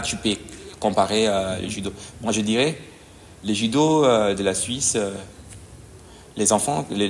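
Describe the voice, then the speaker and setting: A man speaks in a low, gravelly voice. He talks haltingly, pausing and searching for words as he explains his work as a judo coach. A faint hum of noise sits under him. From DR Congo. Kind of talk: conversational interview answers.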